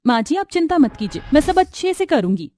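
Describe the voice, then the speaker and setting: Woman acting a whiny, lazy young wife, high-pitched. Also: very animated, brisk, warm tone. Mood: grudging. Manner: complaining, self-pitying, wheedling. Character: lazy, whining young daughter-in-law.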